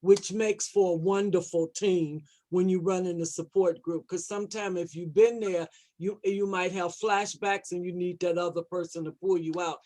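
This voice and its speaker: Mellow-voiced woman panelist, plain-spoken. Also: on the low side, steady tone, normal speed. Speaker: support group advocate, panelist. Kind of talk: informal spoken remarks at an online panel.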